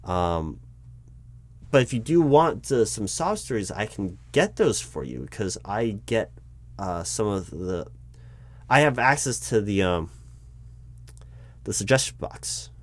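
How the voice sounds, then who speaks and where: An American man playing a flustered corporate recruiting manager in a spoken ASMR roleplay, explaining things in a halting, officious way. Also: lowish voice, very animated. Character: flustered bureaucratic male corporate recruiting manager addressing his disguised boss. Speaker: performer of a one-sided roleplay. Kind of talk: improvised conversational ASMR roleplay, spoken aloud.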